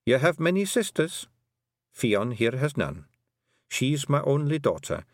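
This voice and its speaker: A man's voice: big pitch swings, no echo, studio quality. A man voicing a tiny, dying, stubborn old fairy clan mother, in a storybook reading. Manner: broad brogue, raised pitch, slow weary phrasing. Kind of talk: audiobook character voice.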